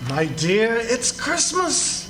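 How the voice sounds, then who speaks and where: A man's voice: very high voice, huge pitch swings, slow pace, a bit bright, exaggerated voice. A man playing a kindly, humble father in a live radio play, speaking tenderly and earnestly. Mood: gently pleading. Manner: tender, earnest, swooping delivery. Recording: roomy stage sound with clear noise.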